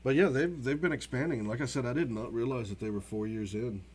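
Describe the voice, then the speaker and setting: A man's voice: mid-range voice, quick pace, a little mellow, breathy edge. A man co-hosting a sports show, giving updates in a casual, conversational way.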